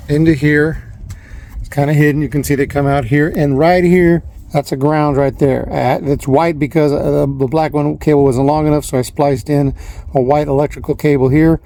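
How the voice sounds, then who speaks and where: A man's voice: on the high side, warm tone. A man narrating a casual hands-on how-to in an informal, rambling way, repeating himself as he goes. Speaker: vlogger showing a DIY installation. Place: inside and around a parked van. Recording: noisy handheld recording with clicks.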